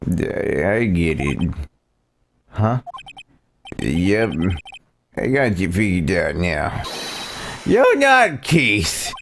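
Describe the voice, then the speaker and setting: Old video game codger, played by a man: gravelly, slow, folksy and muddled, music underneath. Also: sits low, wildly swooping pitch, fairly bright tone, slight background noise, full character voice. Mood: suspicious. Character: doddering, rambling old man running a noodle shop. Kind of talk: hammy video game voice-over.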